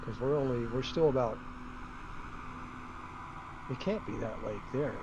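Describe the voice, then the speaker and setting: A man's voice: medium pitch, a little slow, highs cut off. A man who is a native English speaker, thinking aloud in casual, rambling commentary as he streams a flight simulator. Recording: loud steady engine drone. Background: flight simulator aircraft engine noise.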